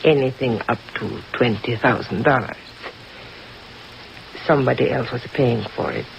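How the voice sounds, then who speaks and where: An actress playing a composed, world-weary countess in an old radio drama, speaking candidly. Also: female voice, very deep voice, moderate pace, gravelly. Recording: dull, noisy vintage radio recording, steady rain.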